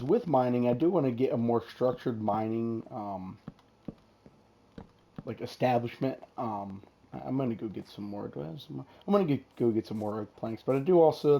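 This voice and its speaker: Male gameplay host, hoarse and rough from illness, mellow dark tone, meandering casual chat. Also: mid-range voice, a little hiss or hum. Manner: rambling, many fillers and restarts. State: hoarse, losing his voice from illness. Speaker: gaming channel host.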